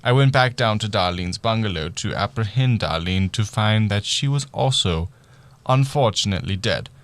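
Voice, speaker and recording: A man acts out an oblivious, bumbling detective telling his murder mystery as a radio play. The voice is on the low side and gravelly, with theatrical, swooping intonation. It is recorded very dry. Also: expressive, normal speed, no room sound. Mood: matter-of-fact narration. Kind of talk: radio play narration and dialogue.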